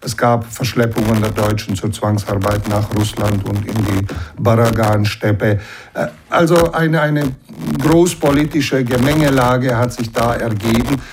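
A middle-aged man from the Banat in western Romania, answering interview questions in a measured, explanatory way with frequent fillers. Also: mid-range voice, background noise. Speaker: interview guest.

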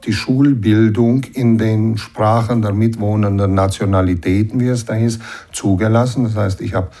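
A man in his sixties from the Banat in western Romania, explaining and recollecting as an interview guest in a measured way, often adding a short tag to his sentences. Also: on the low side, steady tone, mellow. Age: 60s.

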